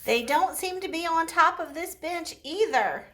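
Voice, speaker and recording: Casting: woman to play a helpful, patient puppet pal for a kids' show, unhurried with lively intonation. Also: very high voice, heavily acted. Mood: curious, searching. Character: patient, helpful puppet friend on an ornament quest. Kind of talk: children's puppet show acting.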